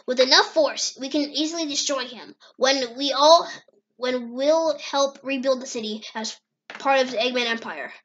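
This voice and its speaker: A woman's voice: high voice, moderate pace, fairly bright tone, muffled recording. A woman voicing a pompous, theatrical male villain scientist in an amateur comic dub. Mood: gloating. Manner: pompous, grandiose.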